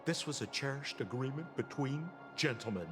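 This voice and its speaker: A man's voice: medium pitch, lively intonation, moderate pace, lightly acted. A man voicing a sly, smug, theatrical con man who runs a hotel in a video game, drawling his words. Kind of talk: acted video game dialogue. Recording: reverberant, with music behind.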